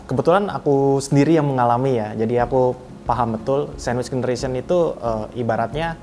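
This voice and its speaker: A man's voice: medium pitch, fairly quick, dark tone, soft background music. A man in his mid twenties giving earnest, formally phrased opinions in an interview. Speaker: business development officer being interviewed. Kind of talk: interview answers, reflective opinion.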